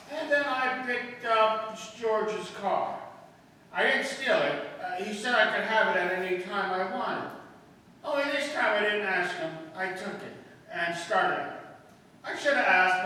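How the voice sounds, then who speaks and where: A man playing an anxious, down-on-his-luck theft suspect, halting and pleading, in a 1950s police radio drama. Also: high-pitched, bright, forceful tone. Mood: sheepish confession. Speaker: amateur radio-play actor. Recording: echoey hall from far off, faint noise.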